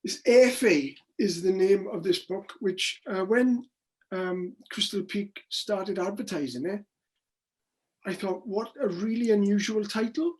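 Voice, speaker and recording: A man's voice: high-pitched, measured pace, poor audio. Older man, chatty rambling interviewer.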